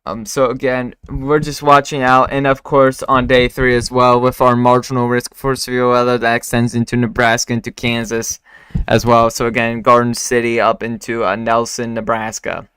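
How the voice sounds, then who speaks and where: Bright, clear-voiced man hosting a weather stream. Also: mid-range voice, monotone, no echo. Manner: halting, many fillers and restarts. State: recovering from losing his voice. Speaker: weather livestream host. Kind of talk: casual live forecast discussion.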